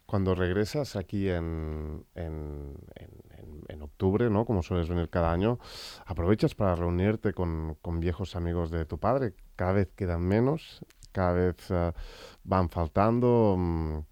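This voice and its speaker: Male interviewer, very low voice, measured and searching, dry close sound. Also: warm tone, clear, resonant tone, dry recording. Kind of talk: interview questions.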